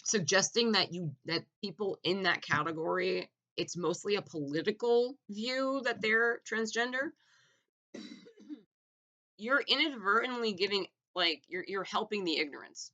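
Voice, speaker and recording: A man giving a blunt, argumentative opinion monologue, full of "like" fillers and restarts, clearing his throat now and then. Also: high voice, measured pace, bright, forceful tone, lo-fi sound. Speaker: opinion vlogger.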